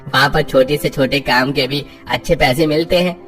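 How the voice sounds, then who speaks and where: A man's voice: medium pitch, fairly even intonation, normal speed, a bit bright, soft background music, lightly acted. A man voicing a prosperous friend who gives advice in a cartoon story. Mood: contented. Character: prosperous adult male friend, once a poor labourer, advising. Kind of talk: scripted cartoon story dialogue.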